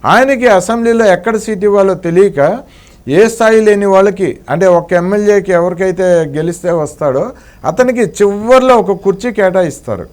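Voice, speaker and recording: A man's voice: high-pitched, moderate pace, a little mellow. A man interviewing conversationally about politics, often chiming in with short agreements.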